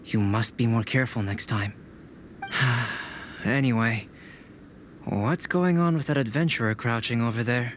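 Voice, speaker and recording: An actor playing a composed young male alchemist mentor who speaks in a calm, measured way. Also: medium pitch, slight background noise, close to an everyday voice. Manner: calm, measured, quietly reproachful. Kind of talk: video game voice acting.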